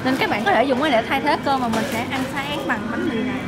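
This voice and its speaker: A woman's voice: high-pitched, quick pace, loud music behind the voice. A young woman co-hosting a casual vlog, chatting as she explains groceries in a supermarket. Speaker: vlog co-host. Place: supermarket, indoors.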